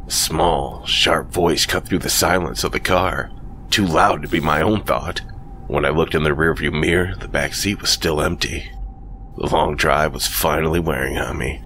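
A man narrating a first-person horror story in a slow, brooding scripted read. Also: extremely low pitch, steady tone, bright, forceful tone, slight background noise.

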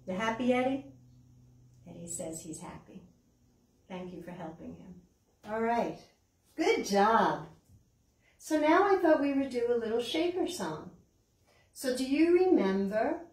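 A woman's voice: on the high side, big pitch swings, a little slow, long pauses, darkish tone. An older American woman hosting a children's storytime, asking simple, encouraging questions as if to young children. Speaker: children's library storytime host. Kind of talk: children's storytime with puppets, songs and read-aloud. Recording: roomy, distant mic, background hiss.

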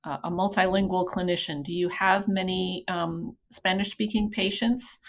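A woman's voice: on the low side, fairly even intonation, a little slow. A middle-aged woman hosting an interview over a video call, encouraging and supportive. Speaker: podcast host.

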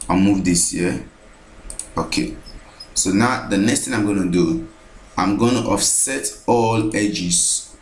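A man narrating a screen-recorded software tutorial, thinking aloud as he repeats each step. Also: low voice, echoey room, rough recording.

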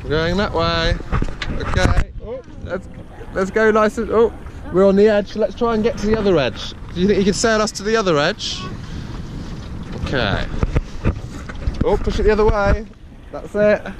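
A man's voice: sits high, normal speed, pauses often. A man teaching his young children to sail a small dinghy, patient and encouraging, full of praise. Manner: gentle, encouraging, lots of praise. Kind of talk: patient coaching and casual chat. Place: small sailing dinghy on a lake, outdoors. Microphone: boat-mounted action camera. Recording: outdoor wind and water noise.

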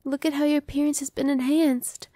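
A woman voicing a cheerful, newly turned young female vampire in an ASMR roleplay, speaking softly and reassuringly, sometimes dropping to a whisper. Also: high-pitched, flat delivery, a little fast, dry recording, close to an everyday voice. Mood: playful. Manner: soft, reassuring, playful, drops to whispers. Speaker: voice actress.

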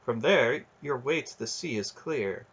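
A man voicing a gentle dryad queen. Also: medium pitch, expressive, husky, lo-fi sound, heavily acted. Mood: fond, calm. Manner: soft, kind, measured speech.

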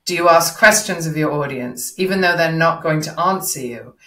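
Female coach, middle-aged, slightly low register, explaining and questioning as an interview guest, recorded in a reverberant room. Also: moderate pace, a bit bright. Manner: instructive, poses rhetorical questions and examples. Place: indoor room, video call. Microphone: video call, no headset seen. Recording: echoey room over video call.

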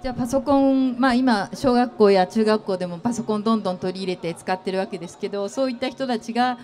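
A woman's voice: mid-range voice, fast. Middle-aged woman asking on an expo stage. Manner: gentle, rambling questions with many fillers. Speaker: interviewer, company executive. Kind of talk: stage interview at a trade expo. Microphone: handheld mic.

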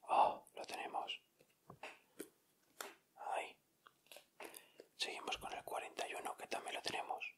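A man with an accent from Spain, speaking in a breathy, unvoiced whisper as he gives casual ASMR commentary. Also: measured pace, long pauses. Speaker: ASMR video host. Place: indoors at a table.

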